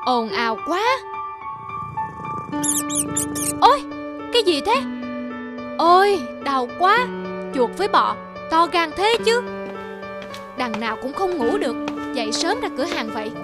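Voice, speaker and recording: A middle-aged cartoon rice merchant, greedy and quick to anger. The voice sits high and is full of grumbles and exclamations. Music plays prominently behind it. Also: female voice, sing-song. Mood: irritated. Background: children's music.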